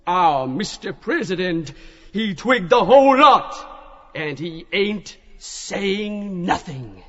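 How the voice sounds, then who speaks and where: A man's voice: high voice, sing-song, takes it slowly, pitched above the performer's own voice, exaggerated voice. A man voicing a fervent evangelist prophet who hawks salvation in folksy, hectoring showman's patter, in an audiobook drama. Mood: pleading fervour. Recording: muffled audio in a long echoing hall.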